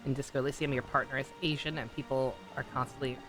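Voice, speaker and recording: A man's voice: medium pitch, fairly even intonation, quick pace, fairly bright tone. A man streaming a game and giving casual live reaction commentary. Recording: game music behind the voice.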